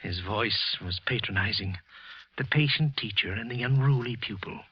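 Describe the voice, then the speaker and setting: An actor playing a bitter office clerk, narrating a halting confession in 1940s radio style. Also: male voice, on the low side, no room sound. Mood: bitter, brooding. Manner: halting, tense confessional narration with repeated words. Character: bitter, trembling clerk consumed by quiet hatred. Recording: muffled, husky vintage radio recording.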